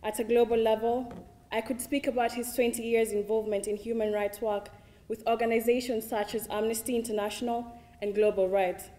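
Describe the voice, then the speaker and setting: A young woman from Kenya with a Kenyan accent, a student giving a formal, measured introduction of a lecture speaker. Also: a little high, flat delivery, dark tone. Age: young adult. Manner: measured, read from a script.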